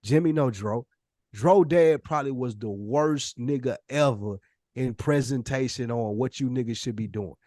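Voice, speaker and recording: A man's voice: mid-range voice, normal speed, mellow, no room sound, studio quality. A forty-year-old man co-hosting a podcast, debating in a blunt, slangy, insistent way with plenty of swearing. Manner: argues insistently with rhetorical questions. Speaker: podcast co-host. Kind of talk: heated casual podcast debate.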